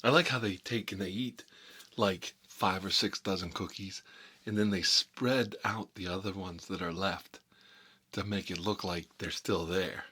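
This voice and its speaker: Man playing a husky, sardonic mob boss. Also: low-pitched, sharp tone, heavily acted. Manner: rambling, repetitive storytelling with mock threats. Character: gruff mob boss, sardonic, menacing, exasperated family man. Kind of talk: ASMR-style comedic character monologue.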